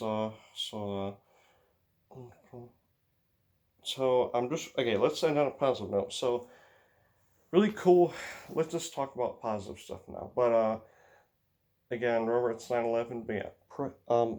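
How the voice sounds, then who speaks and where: A man's voice: medium pitch, a little mellow. A teenage American man with an Upper Midwest accent, talking in a rambling, hesitant way full of fillers and sighs. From United States. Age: teens. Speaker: racing vlogger. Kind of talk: rambling casual monologue.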